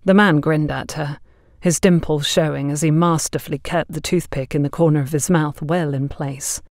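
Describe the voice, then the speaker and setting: A woman's voice: low voice, steady tone, moderate pace, a little mellow, dry recording, clean studio sound. A woman reading scripted story narration as an audiobook narrator.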